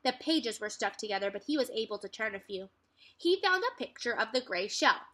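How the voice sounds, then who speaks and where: A young adult woman reads a children's story aloud as a teacher, with expressive, clearly phrased narration. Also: a little high, fairly quick. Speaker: teacher reading aloud to second graders. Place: furnished room at home, indoors.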